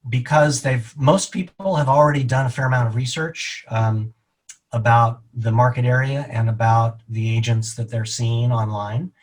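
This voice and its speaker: A middle-aged man being interviewed as a real estate agent, explaining his answers in a measured, deliberate way. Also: medium pitch, fairly even intonation.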